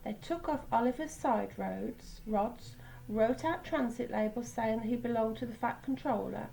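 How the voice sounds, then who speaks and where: A woman reading a picture book aloud to children in a teacherly way, pausing for asides about the pictures. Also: on the high side, creaky, dark tone, low-quality recording. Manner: teacherly, pointing out pictures and repeating words.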